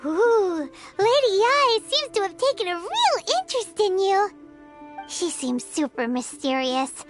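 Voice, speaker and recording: A woman voicing a tiny floating girlish companion sprite who is chatty, excitable and nosy, in a video game cutscene. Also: very high voice, a little slow, faint music behind, full character voice. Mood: chirpy. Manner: chatty, excitable, talks of herself in third person.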